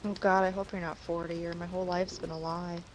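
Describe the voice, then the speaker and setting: A woman's voice: lowish voice, level tone, brisk. A woman in her late twenties bantering casually during a game stream, with a teasing, sardonic manner. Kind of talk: casual banter while gaming. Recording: clearly noisy stream audio.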